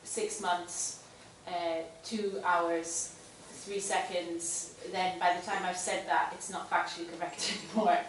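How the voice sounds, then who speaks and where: Female student, low-ish, thinking aloud; far mic, big room echo. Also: flat delivery, a little hiss or hum. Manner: thinks aloud, halting restarts. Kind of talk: asking a question in class. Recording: very echoey room, distant mic.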